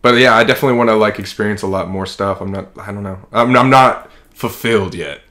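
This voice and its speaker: A man's voice: on the low side, quick pace, pushed and bright. A man in his early twenties with an American accent, talking casually and reflectively in a rambling, informal way as a podcast co-host. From Kansas, United States. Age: early 20s. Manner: rambling, informal, heavy use of "like".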